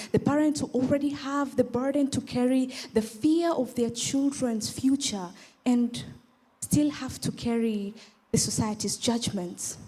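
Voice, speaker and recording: Mellow young Tanzanian woman giving a speech. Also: a little high, husky. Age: young adult. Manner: earnest, emphatic, deliberate phrasing with rhetorical repetition. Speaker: pageant contestant. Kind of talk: prepared advocacy speech on stage.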